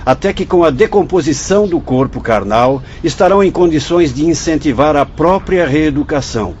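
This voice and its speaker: A man's voice: a little high, lively intonation, a little mellow, close to an everyday voice. Male, Brazilian accent: actor playing a grave, fatherly hospital guide in a radio drama, formal and instructive, muffled audio with faint noise. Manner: didactic, formal, measured. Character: solemn fatherly spirit doctor guiding visitors through a hospital.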